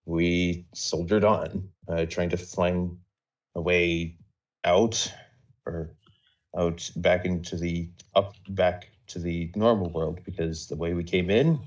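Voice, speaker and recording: A man recounting a roleplay story off the cuff on a live stream, halting and measured, wandering into small digressions. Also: sits low, darkish tone. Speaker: storyteller recapping a game server roleplay.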